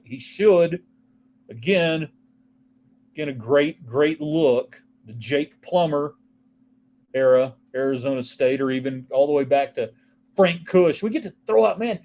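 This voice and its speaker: A man's voice: fairly high pitch, a little mellow, a little hiss or hum. A man hosting a casual solo sports talk show, rambling from topic to topic and answering viewer texts. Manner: rambling, offhand, jumps between topics.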